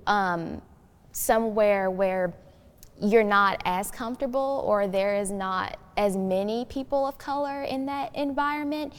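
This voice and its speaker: A teenage girl giving thoughtful discussion as a youth guest, speaking in an articulate, earnest, explanatory way. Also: medium pitch. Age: teens. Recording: long hollow room reverb.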